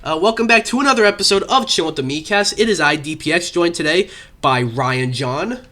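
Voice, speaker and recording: Bright, rambling young male podcast host with a slight cough, casual chat, lots of "uh" and "like". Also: fairly high pitch, animated, brisk, faint music behind. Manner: rambling, many fillers. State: has a cough.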